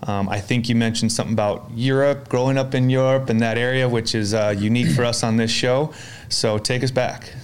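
A man's voice: medium pitch, fairly even intonation, brisk, clean tone. A man hosting a podcast, asking friendly, rambling questions with an upbeat, casual manner. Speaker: podcast host. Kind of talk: casual interview conversation.

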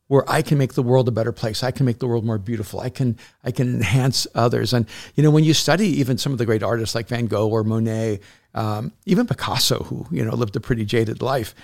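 A man's voice: medium pitch, a little mellow. A middle-aged man from El Salvador, a pastor and author speaking as a podcast guest, talking in an earnest, storytelling way with frequent restarts. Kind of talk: reflective casual conversation.

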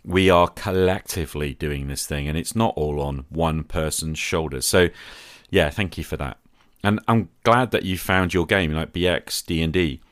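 A man's voice: a bass voice, normal speed, hardly any pauses, no echo, studio quality. A middle-aged man hosting a podcast, talking reflectively and gratefully as he replies to listeners. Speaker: podcast host. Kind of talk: solo podcast talk replying to listener calls.

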